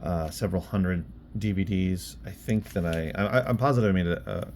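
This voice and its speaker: A man's voice: low voice, normal speed, darkish tone. A man chatting casually in a vlog about his own work, offhand and thinking aloud as he goes. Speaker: online reseller and bookstore owner, vlog host. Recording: clear background noise.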